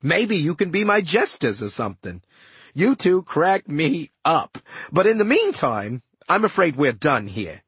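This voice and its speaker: A man's voice: on the high side, very animated, a little slow, a bit bright, husky, dry recording, exaggerated voice. A man voicing a smug, scheming ghost villain in an audiobook, with breezy, taunting, slangy talk. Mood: mocking.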